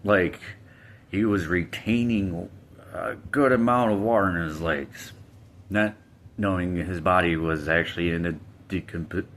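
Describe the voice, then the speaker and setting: A man's voice: low-pitched, a bit bright, slight background noise. A man casually telling a personal story, hesitant, with fillers and restarts.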